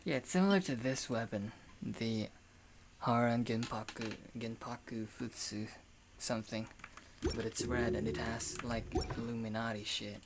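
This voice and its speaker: A woman's voice: rumbling low, unhurried, noisy background. A woman giving casual, slangy, offhand commentary while playing a video game and reading its dialogue. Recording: game music behind the voice.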